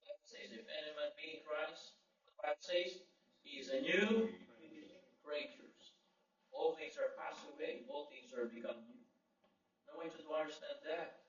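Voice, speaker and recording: A man's voice: a little high, sing-song, moderate pace, fairly bright tone. A male pastor from the Philippines, a native Filipino speaker, preaching a sermon with call-and-response amens and emphatic Bible quotes. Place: large echoey church hall. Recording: echoey, distant, dull lo-fi sound.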